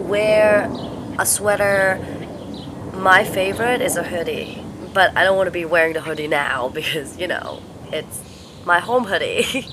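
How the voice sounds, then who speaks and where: A young woman vlogging casually at home, stuffed up and congested, talking in a slow, halting, tired way. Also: on the low side, background noise. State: congested, sniffly with a cold. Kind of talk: casual vlog chat. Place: home, indoors.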